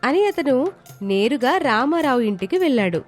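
A woman's voice: sits high, big pitch swings, moderate pace. A woman reading a scripted moral tale as the narrator, in storytelling style. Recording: background music behind the voice. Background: music.

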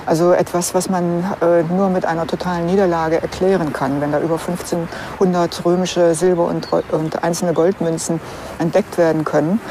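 A woman's voice: fairly low pitch, little pitch movement, normal speed, warm tone, slight background noise. A woman explaining finds as an archaeologist in an unscripted documentary interview.

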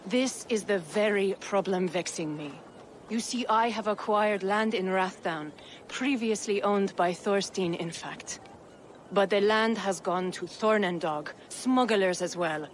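A woman playing a courteous, poised female trader in a video game, formal and measured. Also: medium pitch, a little hiss or hum, natural voice. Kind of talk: dramatic video game cutscene acting.

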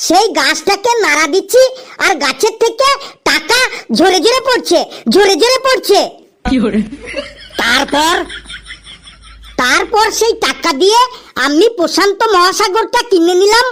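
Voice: very high-pitched, fairly bright tone, heavily acted. A performer voicing a lazy, dim-witted and cocky young son in a comedy cartoon, whining and boasting. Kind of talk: Bengali comedy cartoon dialogue.

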